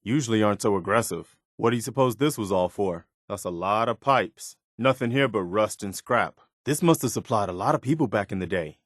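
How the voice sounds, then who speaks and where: Casting: idealistic wasteland militiaman, video game companion, lowish pitch, dry close sound, sincere and measured delivery. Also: expressive, no echo. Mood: calm, casual. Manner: sincere, plain-spoken, measured. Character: earnest, idealistic militia soldier in a post-apocalyptic wasteland. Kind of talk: video game voice acting, naturalistic dialogue.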